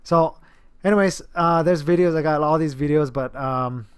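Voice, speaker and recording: A man's voice: on the high side, moderate pace, clean tone, no room sound, clean studio sound. A man, a personal trainer, talking casually to camera about a client, rambling with many fillers and restarts. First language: English.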